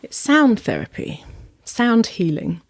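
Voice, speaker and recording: A woman's voice: a little high, wildly swooping pitch, slow, creaky, no room sound. A woman, a sound therapist and former singer, telling her story thoughtfully in a podcast conversation with many ums and restarts.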